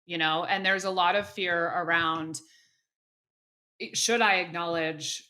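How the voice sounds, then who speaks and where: A woman's voice: on the low side, fairly even intonation, a little slow, pushed and bright. A woman hosting an interview podcast, speaking thoughtfully with frequent "you know"s and restarts. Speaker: podcast host.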